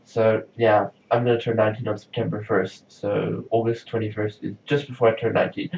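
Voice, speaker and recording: A man's voice: lowish voice, little pitch movement, brisk, vocal fry, darkish tone, a little hiss or hum. Chatty young man, distant roomy recording. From South Africa. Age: young adult. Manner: rambling, chatty, says "like" often. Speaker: vlogger. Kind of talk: casual diary vlog. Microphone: camera mic from a distance. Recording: roomy, distant, dull lo-fi sound.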